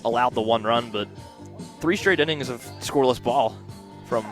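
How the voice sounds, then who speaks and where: A man of average pitch provides analysis as the color commentator on a live softball broadcast. He talks conversationally, explaining plays and sometimes doubling back on a word. Steady, clearly audible outdoor broadcast sound runs behind his voice. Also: moderate pace, over music.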